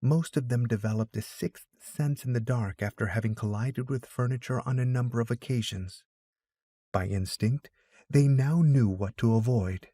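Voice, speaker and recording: A man's voice: medium pitch, moderate pace, dark tone, no echo. A man narrating a scripted story as an audiobook reader.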